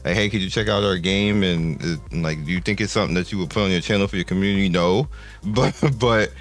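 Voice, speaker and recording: An older man with a deep voice hosting a gaming channel, chatting casually and rambling through numbers with many restarts. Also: steady tone, fast, soft background music. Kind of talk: casual commentary to viewers.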